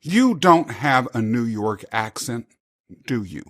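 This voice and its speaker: A man's voice: medium pitch, expressive, slow pace. Upbeat man hosting a podcast. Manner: upbeat, effusive, laughs easily. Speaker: podcast host. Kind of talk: enthusiastic interview hosting.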